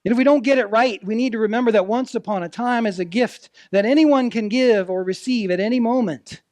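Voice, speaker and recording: A man delivering a sermon as a preacher, earnest and deliberate, putting rhetorical questions to his listeners with dramatic phrasing. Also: high-pitched, darkish tone, no echo. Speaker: preacher, ministerial intern. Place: church service, speaking to a congregation.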